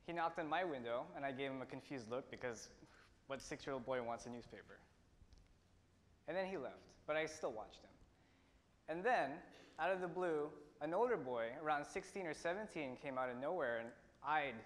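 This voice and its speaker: A teenage man from India tells a personal story in an earnest way, pausing and restarting as he goes. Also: fairly high pitch. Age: teens. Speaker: student speaker. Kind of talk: prepared personal talk. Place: auditorium stage. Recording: long hall reverb.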